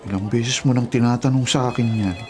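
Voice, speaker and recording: An actor with a Filipino accent playing a pushy, jealous, hot-headed young suitor, confrontational, in a radio drama. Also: male voice, medium pitch, fairly even intonation, brisk, lightly acted. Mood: pleading, insistent.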